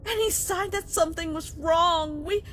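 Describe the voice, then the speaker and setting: A woman playing a distraught, loyal female dog-monster guard, emotional and halting, in a melodramatic comic dub. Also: very high voice, fairly bright tone. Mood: shaken, grieving. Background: background music.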